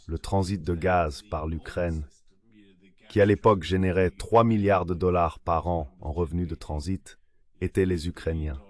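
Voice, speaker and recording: A man's voice reading a translated interview answer as political analysis, in a measured way. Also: sits low, darkish tone. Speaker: AI voice-over translating an interview guest.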